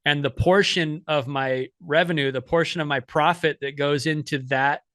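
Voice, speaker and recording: A man's voice: on the high side, fairly even intonation, normal speed, a bit bright, no room sound, clean studio sound. A man hosting a podcast, talking casually in long rambling turns full of fillers and restarts. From United States. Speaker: podcast host.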